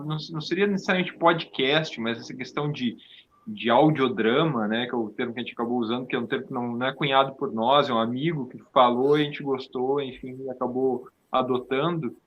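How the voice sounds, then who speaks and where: A man chatting casually as an interview guest, halting and repeating words as he goes. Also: medium pitch, slight background noise. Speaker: podcast guest, audio drama maker. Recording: dull, lo-fi sound.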